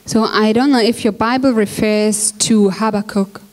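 A woman interpreting a sermon into another language line by line, in a steady, plain manner. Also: medium pitch, measured pace. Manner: steady, plain, follows the speaker closely.

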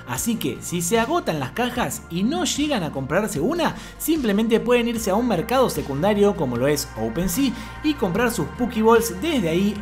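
A woman hosting a crypto channel, reading a smooth scripted tutorial voice-over in an even promotional delivery. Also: medium pitch.

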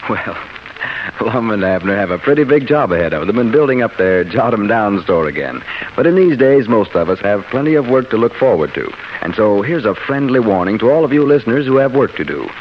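An American man announcing an advertisement on 1940s radio in a polished, measured way. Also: fairly low pitch, sing-song. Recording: muffled 1940s radio recording with faint noise.

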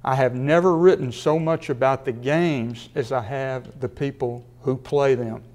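A man in his sixties from Mississippi, a sports writer delivering a prepared acceptance speech in a measured way with a dry wit. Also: mid-range voice, fairly even intonation, a little mellow. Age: 60s. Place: studio with a sponsor backdrop.

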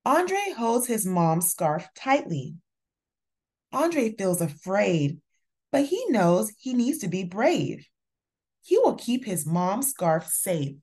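A woman reading a children's picture book aloud in a deliberate, expressive storytelling manner. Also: mid-range voice, breathy edge. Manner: slow, deliberate, expressive delivery for young children. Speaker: children's story reader and channel host.

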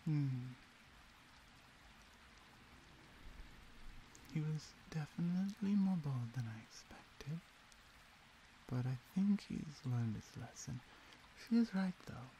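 A low, soft female orc huntress, played by a woman, dominant yet caring. She speaks intimately and with a teasing edge in an ASMR roleplay. There is clear noise behind the voice. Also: animated, a little slow, rough recording. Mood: teasing, firm. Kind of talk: improvised ASMR roleplay, spoken to the listener.

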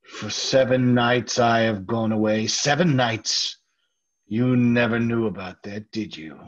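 A man's voice: medium pitch, takes it slowly. A man playing a nervous, obsessive murderer confessing in an old-time radio horror style, intense and lingering over words. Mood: menacing. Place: indoor home room, group video call. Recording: dull sound, music underneath.

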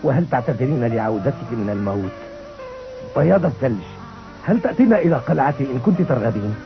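A man's voice: mid-range voice, very animated, quick pace. A man playing an earnest young noble prince in a dubbed cartoon, speaking dramatically. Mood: gentle. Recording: muffled lo-fi dub with loud music.

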